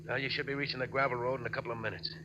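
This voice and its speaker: A man's voice: mid-range voice, level tone, racing, noisy background, lightly acted. A man playing an injured, sardonic ex-convict bank robber in a 1940s radio drama, terse and dryly defiant. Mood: guarded calm. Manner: terse, dry, tough-talking. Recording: muffled old radio recording.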